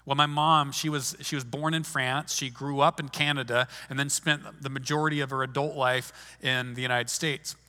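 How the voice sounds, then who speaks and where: A man's voice: mid-range voice, steady tone, moderate pace, few pauses, pushed and bright. A man preaching a sermon in a conversational way, often restarting his sentences, recorded in a large hall. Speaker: pastor. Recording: long hall reverb.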